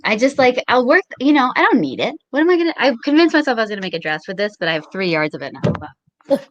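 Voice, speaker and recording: An American woman in her thirties chatting casually in an animated, informal way with wry exaggeration. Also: on the high side, quick pace, lo-fi sound, background noise. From Long Island, New York, USA. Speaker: guest. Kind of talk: casual chat, anecdotes.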